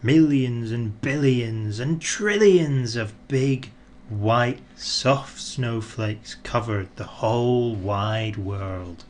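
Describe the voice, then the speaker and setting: A man narrating a children's storybook in an expressive, deliberate way, drawing out words for emphasis. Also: medium pitch, a little hiss or hum. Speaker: audiobook narrator.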